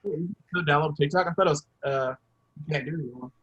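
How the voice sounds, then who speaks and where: A man's voice: fairly high pitch, fast talker, a bit bright, highs cut off. A man performing on a podcast, chatting casually in a hesitant, self-deprecating joking way. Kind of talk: casual chat.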